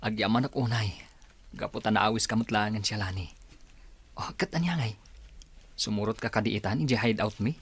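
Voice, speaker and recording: A man's voice: lowish voice, normal speed, pauses often, slightly rough. A man with an Ilocano Filipino accent acting a coaxing young gang leader in a radio drama.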